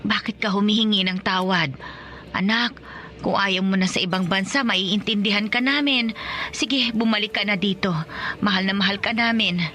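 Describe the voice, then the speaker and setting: A woman's voice: mid-range voice, fairly even intonation, moderate pace, sharp tone, slight background noise, close to an everyday voice. An actress playing a worried, loving middle-aged provincial mother in a Filipino radio drama, anxious and tender, prone to exclaiming.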